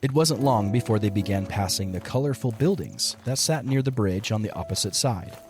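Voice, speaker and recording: A man's voice: lowish voice, lively intonation, brisk. A man narrating a dramatic story as a scripted audio drama narrator. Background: music behind the voice.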